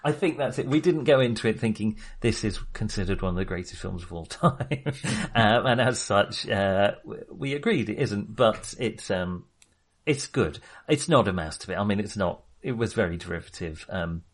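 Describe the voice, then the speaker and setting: A man's voice: lowish voice, animated, normal speed, breathy edge. A man co-hosting a casual film discussion, speaking in a hesitant, self-qualifying way. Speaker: podcast co-host discussing films.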